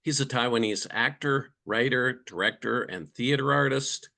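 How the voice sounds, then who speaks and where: A man's voice: mid-range voice, normal speed, pushed and bright. A man hosting an interview, reading an introduction and then asking friendly questions with a few ums. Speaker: interviewer, editor.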